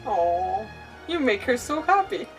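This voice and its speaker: A woman's voice: fairly high pitch, big pitch swings, unhurried. Chatty woman streamer, slightly distant mic. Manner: chatty, reacting aloud to the game. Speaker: game streamer. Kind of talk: casual livestream gameplay commentary. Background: faint game music.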